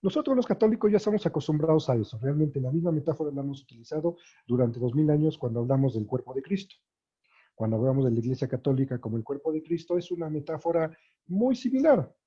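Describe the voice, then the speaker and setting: Dark, husky lecturer. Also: male voice, fairly high pitch, expressive, fast, lo-fi sound. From Mexico. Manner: thinking aloud with many fillers and restarts.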